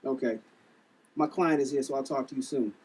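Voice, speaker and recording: A man's voice: mid-range voice, brisk, darkish tone, lightly acted. Gym trainer male actor at table read: protective flirt, slangy, off-mic in a noisy room. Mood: teasing, flirty. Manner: casual slangy talk. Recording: noisy, distant room recording.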